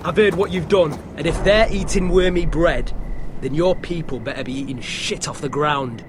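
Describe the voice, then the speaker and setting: High-voiced arrogant bullying young lord played by an actor. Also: male voice, moderate pace, lightly acted. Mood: menacing, demanding. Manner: foul-mouthed, taunting, domineering. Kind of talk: video game drama acting. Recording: clear background noise, outdoor rumble.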